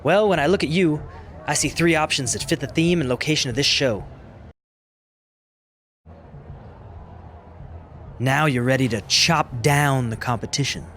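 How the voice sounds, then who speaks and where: A man's voice: a little high, fairly quick, fairly bright tone, a little hiss or hum, close to an everyday voice. A man playing a vain, snobbish fashion-model wrestler in a video game cutscene, speaking in a pompous, haughty manner. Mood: smug.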